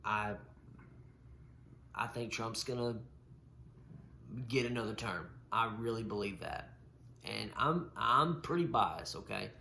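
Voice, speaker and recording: Man, stutters, gravelly low voice, casual podcast co-host; echoey room, faint hiss. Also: takes it slowly, long pauses, sharp tone. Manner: stutters, repeating and breaking off sounds. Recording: roomy echo with faint noise.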